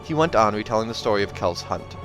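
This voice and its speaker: A man reading a fantasy novel aloud as an expressive amateur narrator, with casual asides. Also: fairly low pitch, fast talker, faint music behind. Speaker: reader of a fantasy novel, reacting as they go.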